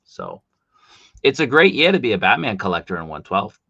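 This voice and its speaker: A man's voice: fairly low pitch, very animated, a little fast, gravelly, sharp tone, dry recording, lo-fi sound. A middle-aged man with a Boston accent hosting a casual livestream, chatting and reading out comments from viewers. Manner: chatty, reads chat comments aloud. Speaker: livestream host. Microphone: desktop mic.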